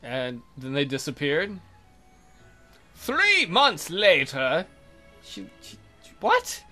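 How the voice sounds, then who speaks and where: Animated, high-pitched male let's play host. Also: extremely slow, soft background music. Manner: sarcastic, incredulous asides. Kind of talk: reading a visual novel with comic commentary.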